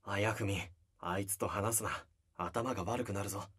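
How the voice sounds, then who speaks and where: Gruff blunt idol dude, husky, shoots stuff down flat. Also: male voice, fairly low pitch, moderate pace. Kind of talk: anime voice drama. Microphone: binaural mic.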